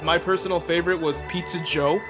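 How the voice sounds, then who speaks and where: A man's voice: fairly high pitch, fairly even intonation, fairly quick. A man co-commentating on a video game in an informal, joking, chatty way. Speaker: let's play co-commentator. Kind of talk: casual gameplay commentary, joking chat. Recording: game music under the voice.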